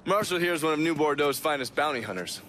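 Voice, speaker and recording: An actor playing a worldly, controlled American government agent in a video game, talking in a knowing, matter-of-fact way. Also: male voice, a little high, expressive, quick pace, sharp tone, slight background noise. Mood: businesslike. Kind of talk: video game cutscene acting.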